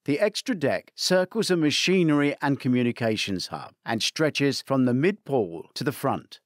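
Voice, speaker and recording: A man's voice: medium pitch, expressive, no room sound. Male narrator, scripted reading, theatrical, dry studio sound. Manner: measured, theatrical reading that lifts for quoted commands. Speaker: audiobook narrator.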